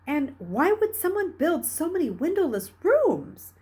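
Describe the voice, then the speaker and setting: A woman reading a novel aloud as a storyteller, with dramatic, expressive delivery. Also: extremely high pitch, unhurried. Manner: dramatic, expressive, with hushed dips and emphatic rises. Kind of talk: read-aloud storytelling narration.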